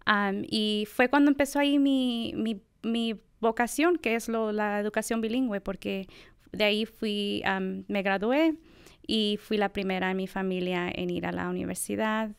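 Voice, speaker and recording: Middle-aged woman academic, reflective interviewee, gravelly but clear, dry close sound. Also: mid-range voice, fairly even intonation, normal speed, no echo. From United States. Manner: thoughtful, reflective, many fillers. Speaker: assistant professor of bilingual education. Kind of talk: conversational interview.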